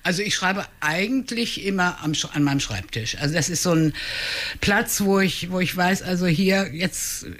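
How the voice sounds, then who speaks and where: A woman in her sixties from Hannover, speaking in a casual radio interview and pausing often with fillers and restarts. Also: on the low side, expressive, fairly bright tone. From Hannover, Germany. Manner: hesitant, many fillers and restarts. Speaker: crime novelist guest.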